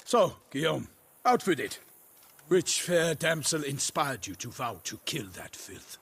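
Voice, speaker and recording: An actor playing a proud, chivalrous knight errant in a video game, speaking in a flowery, formal, declamatory way. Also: male voice, a little high, very animated, measured pace, vocal fry, slightly rough, exaggerated voice. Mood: teasing. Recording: game scene with faint background noise. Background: outdoor ambience, horse hooves.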